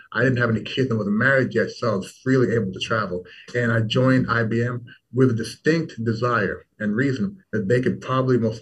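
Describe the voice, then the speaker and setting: Distant husky-voiced man telling his story. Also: mid-range voice, fairly bright tone. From Toronto, then Louisville, Kentucky and Washington DC. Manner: talkative, repeats words for emphasis. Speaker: startup founder as guest. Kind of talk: casual interview chat.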